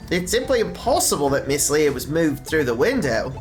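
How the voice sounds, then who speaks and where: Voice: mid-range voice, very animated, normal speed, lightly acted. A streamer voicing a combative, scornful courtroom rival in a mystery game, reading the lines dramatically. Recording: music behind the voice.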